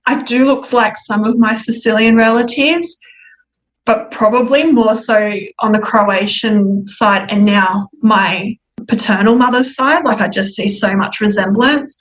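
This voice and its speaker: A woman guest telling her personal story in conversation, reflective and candid, with a halting delivery. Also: on the high side, low-quality recording. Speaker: podcast guest.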